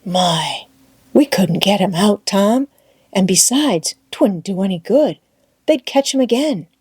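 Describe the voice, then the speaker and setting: A woman's voice: mid-range voice, expressive, takes it slowly, darkish tone, husky, no room sound, clean studio sound, full character voice. A woman voicing a plain-spoken, kindly vagabond boy in an audiobook, with emphatic, drawling rustic dialect. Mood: uneasy.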